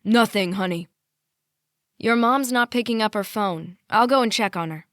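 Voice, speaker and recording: A woman voicing a sweet, gentle middle-aged mother who speaks softly and reassuringly. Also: medium pitch, normal speed, dry recording, clean studio sound, close to an everyday voice. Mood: warm. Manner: soft, reassuring, apologetic.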